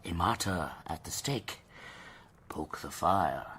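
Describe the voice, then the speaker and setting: Theatrical, volatile eccentric gentleman, wildly swooping voice. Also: sits low, expressive, slow, exaggerated voice. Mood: brooding, courtly. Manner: theatrical, florid, swinging between outbursts and hushed brooding. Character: eccentric, melodramatic, self-pitying legless gentleman, volatile. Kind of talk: radio drama acting.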